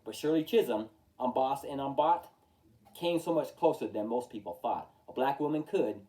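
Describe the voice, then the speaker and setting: Male storytime reader: mellow tone, lively swooping intonation, emphatic rhyming verse, recorded a little far from the mic. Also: medium pitch, normal speed. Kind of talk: expressive children's picture-book read-aloud. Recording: distant from the mic.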